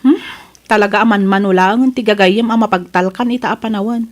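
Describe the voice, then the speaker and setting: A woman's voice: medium pitch, fairly even intonation, a little fast, lightly acted. A woman with an Ilocano accent playing a concerned, indignant young friend in a radio drama, chatty and emphatic.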